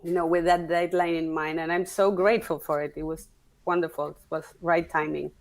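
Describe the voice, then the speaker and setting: Lady in her 40s, kinda low voice, stops and restarts a lot while she thinks, easygoing chat. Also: level tone. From Argentina. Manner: halting, restarts often, thinking aloud. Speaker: guest, opera singer and stage director. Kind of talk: casual interview conversation.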